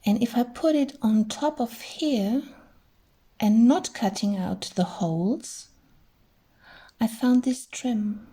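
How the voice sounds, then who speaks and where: A middle-aged woman, chatting gently through a crafting tutorial and thinking aloud as she works. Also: on the high side, slow, long pauses, slightly rough. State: hoarse. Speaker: crafting channel host. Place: indoors at a craft table.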